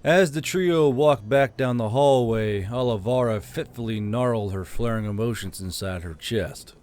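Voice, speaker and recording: A man narrating a fantasy novel in a steady, measured reading. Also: medium pitch, slight background noise. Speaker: audiobook narrator. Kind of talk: scripted narration.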